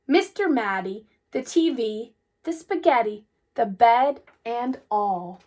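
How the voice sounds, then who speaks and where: A woman reading a children's story aloud with deliberate, expressive phrasing. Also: high voice. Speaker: storybook reader. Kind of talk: children's read-aloud, scripted narration.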